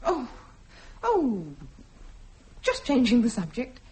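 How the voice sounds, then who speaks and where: Guarded, high-voiced suspicious wife. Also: female voice, very animated, takes it slowly, darkish tone, breathy edge, exaggerated voice. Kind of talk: radio play acting.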